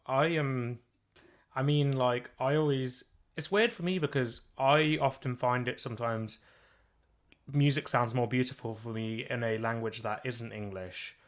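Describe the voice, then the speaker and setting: Guy hosting a podcast, medium voice, laid back, asks curious questions w/ fillers. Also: medium pitch. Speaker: podcast host. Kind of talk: casual interview chat.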